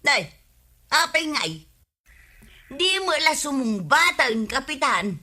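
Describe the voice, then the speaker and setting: Nabannayat agsao, nangato ti timekna. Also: female voice, high-pitched, sing-song, slow pace, sharp tone, exaggerated voice. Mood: prodding. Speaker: radio drama actor. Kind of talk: comedic Ilocano radio drama acting.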